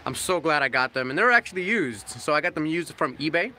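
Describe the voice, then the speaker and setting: A man's voice: on the high side, expressive, quick pace, sharp tone, a little hiss or hum. A man in his thirties hosting a casual car livestream, chatting loosely and laughing, a bit loopy from not eating all day. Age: 30s. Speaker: car channel host.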